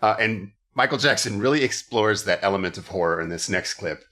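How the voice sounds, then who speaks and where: A man hosts a casual comedy podcast in a fairly low voice with a bright edge. His pitch moves around a lot as he riffs in a wry, exasperated way. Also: lively intonation, fairly quick. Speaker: podcast host.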